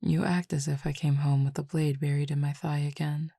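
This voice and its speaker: A woman playing a calm, teasing Cupid roommate with formal, measured speech in an intimate roleplay audio drama. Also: low voice, vocal fry, slightly rough, no room sound, studio quality, heavily acted. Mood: teasing, fond.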